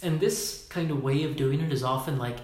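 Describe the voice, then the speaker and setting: A man's voice: medium pitch, a little fast, darkish tone, echoey room, rough recording. A man leading a Facebook Live talk as a workshop leader, emphatic and casual, swearing now and then and acting out postures as he explains.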